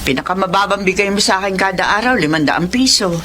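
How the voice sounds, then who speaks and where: A middle-aged mother in a radio drama, grateful and curious. She has a mid-pitched voice with lively swings and faint ambient noise behind. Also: fast talker. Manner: motherly, expressive. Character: grateful, curious poor mother of grown daughter.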